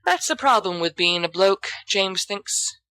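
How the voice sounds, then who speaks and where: A woman reading fiction aloud in an expressive storytelling style. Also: medium pitch, measured pace, clear, resonant tone, no echo. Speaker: audiobook reader of fan fiction. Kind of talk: expressive scripted narration.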